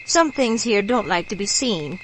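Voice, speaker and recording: A somber bayou local played by a woman speaks in a grave, folksy, cautionary way, as in a horror radio play. Her pitch is middling, and crickets and frogs sound clearly around her in the night. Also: lively intonation, moderate pace, a bit bright, lightly acted, rough recording. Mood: grave warning. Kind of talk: horror radio drama acting. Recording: clear background noise of night insects.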